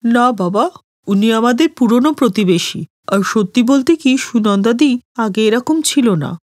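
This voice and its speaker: Woman playing a patient cartoon mom, kind and soothing, highish voice, very dry recording. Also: unhurried, a little mellow, dry recording, natural voice. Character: patient, kindly mother of a grown son. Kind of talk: animated moral story cartoon dialogue.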